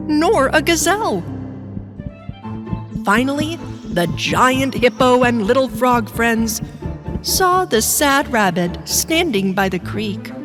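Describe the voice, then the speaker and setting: High-voiced woman narrating slowly. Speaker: storyteller. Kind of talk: scripted children's story narration. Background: music.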